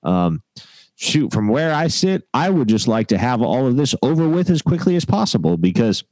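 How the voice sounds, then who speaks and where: A man's voice: mid-range voice, big pitch swings, normal speed, a little mellow, no echo, low-quality recording. A man co-hosting a sports podcast, talking in an emphatic, opinionated way. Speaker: podcast co-host. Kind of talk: casual sports chat.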